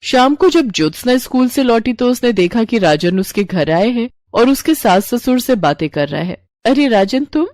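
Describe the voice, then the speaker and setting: A woman's voice: a little high, lively intonation, normal speed, hardly any pauses, darkish tone, no room sound, clean studio sound. A woman reading a scripted story as its narrator.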